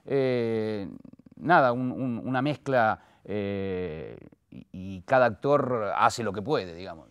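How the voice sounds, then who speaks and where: A man with an Argentine accent and a fairly low voice conducts a conversational interview. He builds his questions haltingly, searching for phrasing, and often chimes in to agree. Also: clear, resonant tone.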